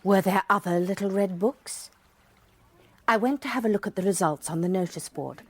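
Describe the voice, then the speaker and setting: An actress playing a sharp-eyed, wry former schoolmistress, telling a mystery in a precise, measured way for radio drama. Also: female voice, medium pitch, breathy edge, natural voice. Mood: wry, reflective. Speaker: narrator and lead character. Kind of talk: first-person dramatised narration, radio drama.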